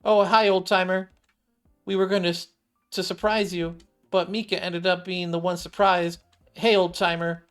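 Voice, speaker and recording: A man's voice: high-pitched, level tone, moderate pace, fairly bright tone, clear, resonant tone, pitched above the performer's own voice. A man voicing a cheerful, playful young woman from a video game. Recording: music playing behind the voice.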